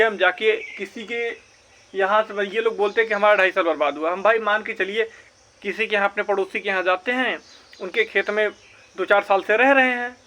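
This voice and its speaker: High, bright-voiced young man. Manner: emphatic, repeats points for stress. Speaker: exam and recruitment news YouTuber. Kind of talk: informal explanatory commentary addressed to viewers.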